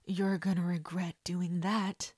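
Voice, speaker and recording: A woman's voice: on the low side, little pitch movement, takes it slowly, darkish tone, husky, no echo, clean studio sound. A woman voicing a crude, menacing male kidnapper in an audio story, threatening and sneering. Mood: vengeful.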